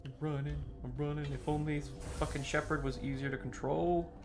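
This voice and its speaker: A man's voice: a little high, fairly even intonation, brisk. A man giving casual live game commentary and chatting with viewers in a playful, dramatic, sweary way. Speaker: livestreamer. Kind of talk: casual game stream commentary, reacting to chat.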